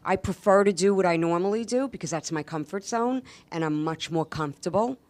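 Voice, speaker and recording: A woman's voice: fairly low pitch, a little fast, a little mellow. A woman chatting casually as an interview guest, speaking in an emphatic, enthusiastic way. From New York, USA. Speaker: guest, actress and stand-up comedian.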